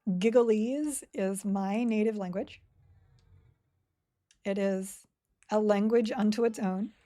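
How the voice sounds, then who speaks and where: A woman's voice: mid-range voice, fairly even intonation, takes it slowly, mellow. A woman streaming a game live, chatting casually and rambling while she plays and reads chat aloud.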